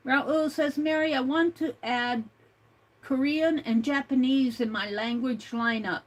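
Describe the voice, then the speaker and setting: An older woman hosting an art livestream, chatting slowly and reading out viewer comments, with many fillers and restarts. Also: high-pitched, poor audio. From United States.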